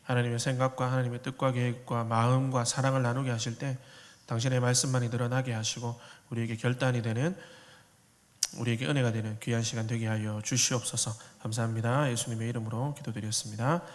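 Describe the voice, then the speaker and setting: A man in his thirties, a pastor preaching a sermon in a relaxed, joking, conversational way, with a slight Korean regional dialect. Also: mid-range voice, fast talker. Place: church sanctuary. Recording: long hall reverb.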